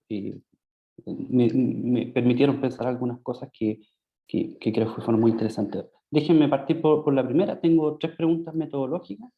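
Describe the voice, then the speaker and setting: Chilean man, discussant, halting delivery with fillers, dull lo-fi call audio. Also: medium pitch. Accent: Chilean Spanish. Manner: hesitant, many fillers and restarts. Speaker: conference discussant. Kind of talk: academic Q&A comments.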